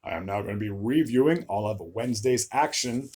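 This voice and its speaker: A young man reads through hockey scores in a casual solo recap, his voice a little low and husky from a long run of recording. He trips over player names and leans on "uh" between stats, then pushes on through the summary. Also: a little fast, a little mellow. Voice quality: slightly raspy, tired voice. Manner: stumbles over player names, frequent "uh" fillers. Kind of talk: solo sports recap video.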